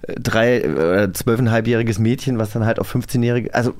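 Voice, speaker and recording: A man's voice: medium pitch, fairly quick, darkish tone. A man in his forties chatting casually as a podcast host, joking and telling anecdotes. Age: 40s. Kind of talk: casual chat.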